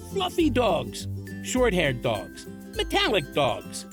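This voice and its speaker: A man's voice: high voice, heavily acted. A man playing a cheery, not-quite-human dog daycare owner in an exaggerated local commercial, over music. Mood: gushing. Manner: salesy, exaggerated swooping emphasis. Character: cheery Mister King, alien dog-daycare owner doing an ad. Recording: music bed under the voice.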